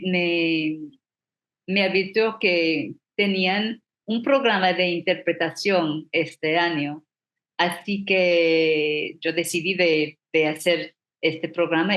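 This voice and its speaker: A middle-aged woman from Trinidad with a Trinidadian accent, a native English speaker telling her story as a professional interpreter, with halting restarts and fillers. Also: on the low side, a bit bright. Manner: halting, frequent restarts and fillers, occasional light laughs. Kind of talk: personal storytelling talk. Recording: roomy echo.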